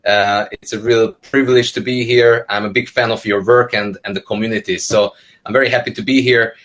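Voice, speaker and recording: A man's voice: lowish voice, fairly even intonation, brisk, fairly bright tone. A man in his thirties from Hungary, talking about his work to a webinar panel over a video call, conversational and full of fillers. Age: 30s. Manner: many fillers and restarts, conversational. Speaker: panel speaker, entrepreneur. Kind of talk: casual spoken talk. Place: indoor room, video call.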